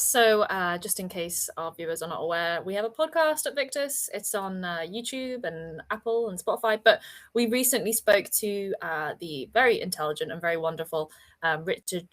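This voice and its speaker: A woman's voice: medium pitch, expressive, moderate pace, fairly bright tone. A woman hosting a webinar over a video call, talking in a chatty, enthusiastic way and often laughing. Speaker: webinar host. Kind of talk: casual webinar chat.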